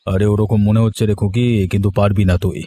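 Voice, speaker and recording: A man's voice: on the low side, flat delivery, quick pace, mellow, breathy edge, no room sound. A man voicing a wealthy, brusque and dismissive village uncle in a cartoon story. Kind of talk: Bengali children's cartoon story dialogue. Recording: music playing under the voice.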